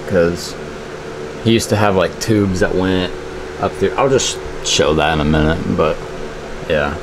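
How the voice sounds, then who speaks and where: A man in his twenties chatting casually to a camera in a laid-back, colloquial way. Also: deep voice. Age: 20s. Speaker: vlogger. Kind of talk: casual vlog chat. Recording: noisy handheld camera audio with ambient hum.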